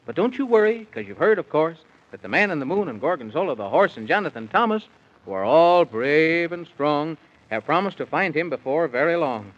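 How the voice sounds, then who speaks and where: A fairly high-pitched male narrator tells a children's tale in rhyme. His delivery is theatrical and sing-song, as in 1930s radio, and the old recording sounds dull. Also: very animated, fairly quick, a bit bright.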